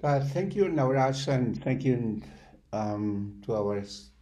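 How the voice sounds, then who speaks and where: An older man giving halting, deliberate remarks on a video call, with many pauses. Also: mid-range voice, expressive, warm tone. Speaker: network spokesperson. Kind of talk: webinar remarks. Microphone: video call, computer microphone.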